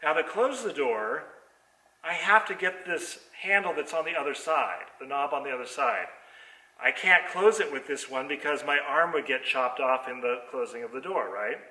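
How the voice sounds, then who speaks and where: Bright-voiced man teaching in an echoey theater. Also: fairly high pitch, animated, moderate pace. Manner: explains movements step by step. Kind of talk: hands-on demonstration lesson. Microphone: distant computer microphone on a video call. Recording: echoey theater, voice far from microphone.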